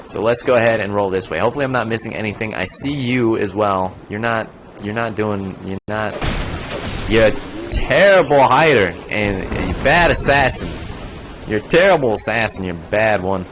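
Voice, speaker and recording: A man's voice: lowish voice, expressive, normal speed, fairly bright tone, slight background noise. A young man streaming a video game, chatting casually, rambling and joking as he plays. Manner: rambling, joking, breaks into goofy voices. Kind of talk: casual gaming commentary.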